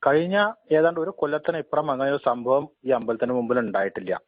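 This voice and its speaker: A man speaks very rapidly over a narrow, tinny phone line. He is making a formal complaint, courteous and deferential yet insistent, and the sound is dry with no room around it. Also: medium pitch, no room sound. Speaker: temple head priest, complainant caller. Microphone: telephone call.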